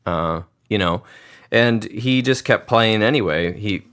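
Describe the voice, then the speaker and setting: A man's voice: lowish voice, unhurried, a bit bright, clear, resonant tone. A man hosting a podcast, musing aloud in a thoughtful, reflective way. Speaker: podcast host, grandson of the pianist. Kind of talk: conversational interview.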